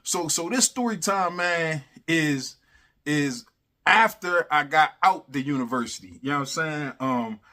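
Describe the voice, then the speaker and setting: A man casually telling a funny personal story in animated, slangy talk, repeating phrases for emphasis and constantly checking in with the listener. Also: fairly high pitch, a little slow. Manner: animated, slangy, repeats phrases for emphasis, often adds "you know what I'm saying". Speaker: storyteller, host of a story series.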